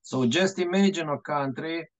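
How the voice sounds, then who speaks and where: A middle-aged man from Moldova with a Moldovan accent, presenting haltingly as a guest academic over a dull video call. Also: fairly high pitch, dry recording. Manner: halting, hesitant delivery. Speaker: guest speaker, historian. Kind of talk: seminar presentation. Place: indoors, room on a video call.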